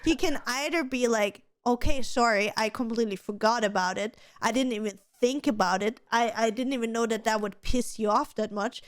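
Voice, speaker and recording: A woman's voice: a little high, brisk, few pauses, clean tone. A woman co-hosting a casual podcast, chatty and giving advice, with a slight stutter and many restarts. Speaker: podcast co-host.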